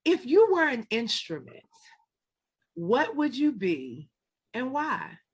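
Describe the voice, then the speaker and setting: A woman's voice: on the high side, very animated, slow, breathy edge. A woman hosting a live-stream interview, encouraging her guest and speaking to the viewers. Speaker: interview host.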